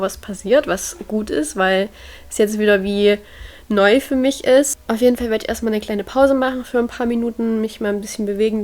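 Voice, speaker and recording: A woman's voice: fairly high pitch, a little mellow. Chatty young German woman vlogging. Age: 20s. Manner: chatty, upbeat, addresses viewers directly. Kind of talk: casual vlog to camera. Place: at home indoors.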